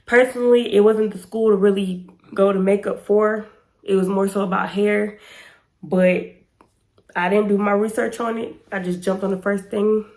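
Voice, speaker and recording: A woman in her twenties with an American accent, chatting casually and emphatically in a storytime vlog, her voice slightly hoarse and stuffy-nosed. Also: mid-range voice, fairly even intonation, normal speed, darkish tone. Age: 20s. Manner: informal, slangy, chatty and emphatic. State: congested and slightly hoarse from pollen. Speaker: YouTube storytime vlogger. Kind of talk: casual storytime chat to camera.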